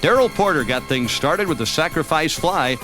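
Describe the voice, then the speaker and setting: An American man reading scripted sports documentary narration as the narrator of a highlight film. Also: lively intonation, moderate pace. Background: background music.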